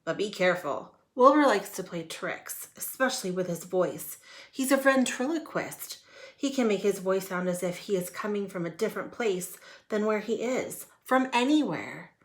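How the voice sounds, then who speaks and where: A woman voicing a patient, good-humoured male patchwork elephant who gently leads the others in a storybook. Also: medium pitch, animated, normal speed, lightly acted. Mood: patient, explaining.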